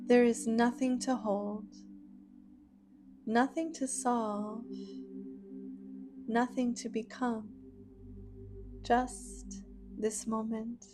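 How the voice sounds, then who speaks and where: Very slow, soothing woman guiding meditation. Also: a little high, fairly even intonation, over music. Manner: soothing, gentle, unhurried with long pauses. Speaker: meditation guide. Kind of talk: guided imagery meditation, scripted narration.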